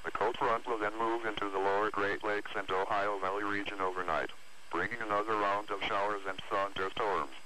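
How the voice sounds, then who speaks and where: A man's voice: lowish voice, steady tone, a little fast, bright, forceful tone. A synthetic man's voice reading scripted weather alerts as an automated announcer over the radio. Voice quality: synthetic text-to-speech voice. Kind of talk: scripted hazardous weather bulletin. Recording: noisy weather radio broadcast recording.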